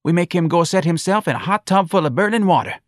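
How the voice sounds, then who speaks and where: A man's voice: high voice, animated, quick pace, no room sound, clean studio sound, voice pushed up. A man voicing a sympathetic, chatty bakery saleswoman in an audiobook novel.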